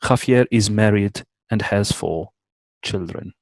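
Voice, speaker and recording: Low-voiced man hosting an online talk. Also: darkish tone, no room sound. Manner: measured, careful with names, small self-corrections. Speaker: host introducing a guest speaker. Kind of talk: reading a formal introduction. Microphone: online video call.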